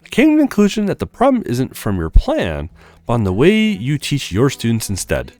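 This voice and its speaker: A man's voice: medium pitch, wildly swooping pitch, fairly quick, darkish tone, no echo, faint music behind. A man voicing a helpful, studious advisor in visual novel dialogue read aloud. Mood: instructive. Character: helpful, studious advisor, easily flustered, giving teaching pointers.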